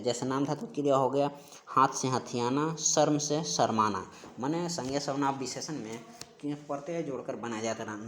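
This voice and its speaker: Man teaching grammar, steady explaining. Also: medium pitch. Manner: explains steadily, repeats key words and answers. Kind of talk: exam-prep grammar lesson.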